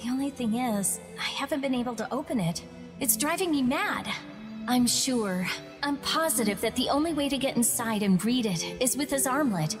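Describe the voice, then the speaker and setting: An actress playing a caring, worried young woman soldier in a game dub, speaking gently and earnestly. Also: sits high, expressive, normal speed. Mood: wistful, determined. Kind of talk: anime game English dub acting. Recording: reverberant, music behind.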